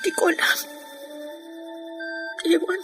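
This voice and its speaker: A woman's voice: slow pace, close to an everyday voice. Weary, sorrowful wife played by a woman, music behind. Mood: numb despair. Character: weary, helpless wife and mother, indecisive and sorrowful. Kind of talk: Tagalog radio drama acting.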